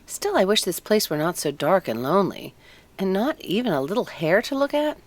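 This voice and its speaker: Woman as a wistful young fir tree, very expressive. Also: medium pitch, a little fast. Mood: lonely, wistful. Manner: musing, earnest, self-absorbed. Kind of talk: storybook character voice.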